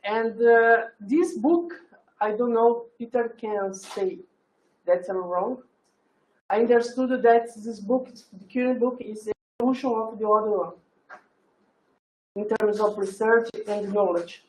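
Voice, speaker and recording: A woman introducing a guest speaker at an event, searching for words with many pauses and fillers. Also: fairly high pitch, a little mellow. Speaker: event host. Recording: echoey lecture room.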